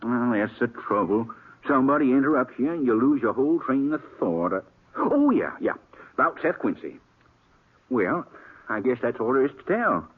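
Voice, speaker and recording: Husky, rambling frontier gossip. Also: male voice, mid-range voice, sing-song, moderate pace. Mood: huffy. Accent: American. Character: garrulous, easily huffy small-town frontier cafe owner. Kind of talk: old-time radio western acting. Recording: dull, lo-fi old radio recording.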